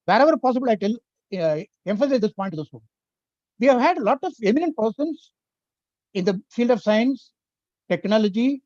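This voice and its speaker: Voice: medium pitch, a little mellow, dry recording. An older speaker with an Indian accent and a Tamil mother tongue, giving an earnest, explanatory webinar lecture that works through points one by one. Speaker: invited speaker on education policy. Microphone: video call. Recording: dry, slightly muffled webinar audio.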